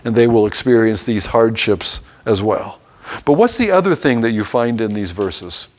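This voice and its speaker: A man's voice: on the low side, lively intonation, normal speed, slight background noise. A man teaching a Bible class, reading scripture passages aloud and stopping to explain them in an everyday way.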